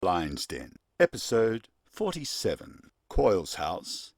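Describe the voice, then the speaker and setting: A man's voice: low voice, wildly swooping pitch, slow, darkish tone, no room sound, studio quality. A man reading scripted narration for a radio play, setting each scene.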